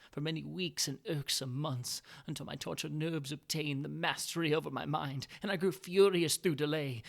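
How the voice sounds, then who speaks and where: A man giving a dramatic reading of gothic fiction, swinging between hushed, brooding passages and impassioned outbursts. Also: fairly high pitch, gravelly. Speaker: reader and narrator.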